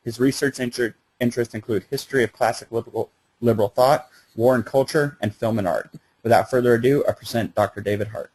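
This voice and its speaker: Fast-talking man introducing a speaker. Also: mid-range voice, a little mellow, husky, poor audio. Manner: reads the introduction, stumbles on names. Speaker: host.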